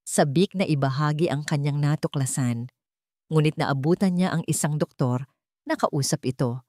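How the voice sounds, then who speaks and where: A woman's voice: low voice, moderate pace, mellow, no echo, clean studio sound. A woman with a Filipino accent, narrating a scripted love story in a dramatic storytelling style.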